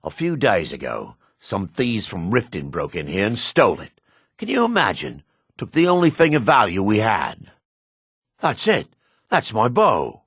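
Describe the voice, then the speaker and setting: A man voicing a gruff, wary male dark elf townsman in a fantasy video game, with a low, husky voice. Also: wildly swooping pitch, a little slow, no echo, studio quality, full character voice. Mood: excited.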